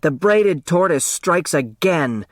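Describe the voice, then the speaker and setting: Storybook role: feisty young girl acted by a man, raised pitch, bold and blunt, brisk. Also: animated, dry recording, clean studio sound. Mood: fierce.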